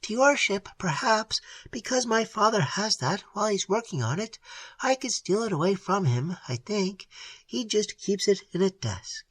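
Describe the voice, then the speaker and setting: A reader voicing a nervous, timid young woman who speaks in a hushed, hesitant way in an audiobook. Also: medium pitch, sing-song, moderate pace, husky, dry recording, voice pushed up.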